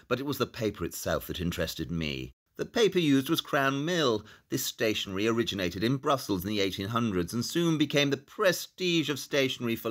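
A self-assured genius detective, audio drama, precise and theatrical, pitch moves around loads. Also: male voice, fairly bright tone. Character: brilliant, self-assured male Victorian-style detective. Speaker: actor in an audio play.

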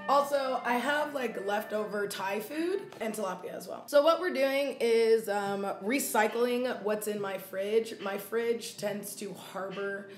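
A woman's voice: mid-range voice, slow pace, roomy sound, distant mic, soft background music. A woman in her twenties hosting a casual home cooking vlog, playful and slangy, with a voice worn from use. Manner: playful, slangy, adds silly rhyming endings to words. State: losing her voice. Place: small home kitchen. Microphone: phone camera, close then a few steps away.

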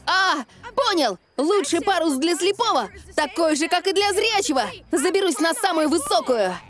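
A woman voicing a plucky young boy pirate in a cartoon dub, earnest and excitable. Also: extremely high pitch, moderate pace. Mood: excited.

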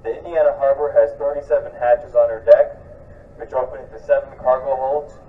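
A man's voice: mid-range voice, fairly even intonation, brisk, phone-quality sound. A man announcing a ship to onlookers over a public address system, steadily reading facts. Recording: distant loudspeaker with faint noise.

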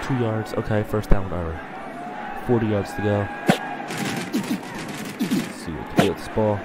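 A man with a stuffy voice from a cold, commentating his own video game play and getting excited on big plays. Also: on the low side, normal speed, very noisy. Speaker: gaming channel host.

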